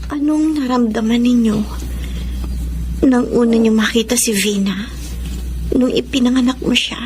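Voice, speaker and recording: A woman's voice: a little high, fairly even intonation, darkish tone, slight background noise, close to an everyday voice. An actress playing a troubled pregnant nineteen-year-old woman in a Tagalog radio drama, polite, guarded and wounded. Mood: subdued. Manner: halting, polite, emotionally wounded.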